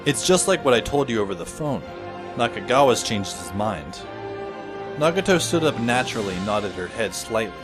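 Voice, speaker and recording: A man plays a sardonic schoolboy narrating his own story in an audio drama. His voice sits at a middle pitch, with a dry delivery and clear music behind it. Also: big pitch swings, normal speed, close to an everyday voice. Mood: reflective. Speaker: narrator and lead character. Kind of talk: first-person narration in fan audio drama. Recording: music under the voice.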